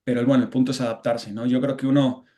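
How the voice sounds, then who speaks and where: A man's voice: medium pitch, flat delivery, fast, warm tone. A Mexican man, an interview guest, talking reflectively in a casual conversation, often restarting and adding tag questions.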